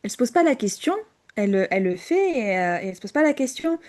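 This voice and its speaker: A woman co-hosting a casual podcast chat, hesitant, with many fillers and restarts and frequent quiet drops. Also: mid-range voice, very animated, quick pace. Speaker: podcast co-host.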